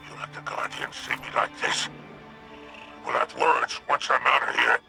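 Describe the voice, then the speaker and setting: An actor voicing a surly, greedy male alien crime boss with a gravelly growl in a video game. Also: mid-range voice, little pitch movement, moderate pace, bright, forceful tone, soft background music, heavily acted. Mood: indignant. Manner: grudging, bargaining menace.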